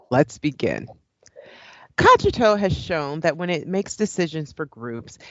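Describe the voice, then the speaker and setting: A woman's voice: low-pitched, no room sound, muffled recording. A woman playing a composed moderator presenting a broadcast debate in an audio play. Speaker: debate show host. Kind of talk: radio play presenter acting.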